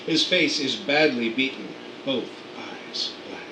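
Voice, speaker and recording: A man's voice: mid-range voice, expressive, takes it slowly. Man reading scene directions in a roomy room. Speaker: narrator reading stage directions. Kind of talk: radio play scene directions. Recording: roomy, distant mic, air conditioning hum.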